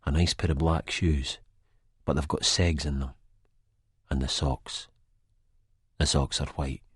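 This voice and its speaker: An actor playing an anxious Scottish man in a first-person audiobook, with a deep, husky voice. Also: fairly even intonation, a little slow, no room sound, clean studio sound, heavily acted. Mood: panicked dread. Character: anxious Scottish man narrating in sheer terror of an old enemy.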